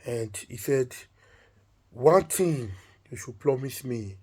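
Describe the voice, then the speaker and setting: Storybook royal wife, self-sacrificing, low-key and grave, measured delivery, played by a male storyteller. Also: lively intonation, breathy edge. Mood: solemn. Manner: soft, solemn, deliberate. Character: devoted self-sacrificing queen, calm, resolute wife.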